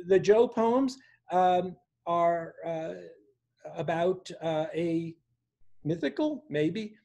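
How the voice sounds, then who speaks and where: A man in his sixties reading his own poems for an online open mic, with a measured, deliberate delivery. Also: sits high, expressive, darkish tone, lo-fi sound. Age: 60s. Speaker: poet reading own work. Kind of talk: poetry reading at a virtual open mic, with informal introductions. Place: small room indoors at home.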